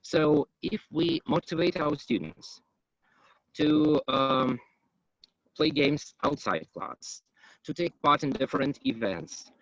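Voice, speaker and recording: A man giving a conference talk as an English teacher, pausing often with ums and uhs. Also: fairly high pitch, big pitch swings, vocal fry, dry recording. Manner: hesitant, many ums and uhs. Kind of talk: conference presentation. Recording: dull, muffled, dry.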